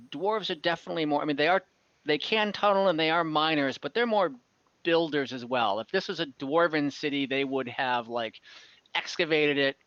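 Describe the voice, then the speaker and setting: A man's voice: fairly high pitch, a bit bright, dry recording, lo-fi sound. A man improvising narration as game master of a tabletop fantasy game, rambling and often stopping to correct himself. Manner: halting, rambling, self-correcting. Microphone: online voice call, close microphone.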